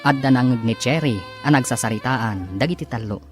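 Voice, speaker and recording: A man's voice: medium pitch, fairly quick. A man with a Filipino accent announcing and narrating a radio drama in a dramatic scripted style. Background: music behind the voice.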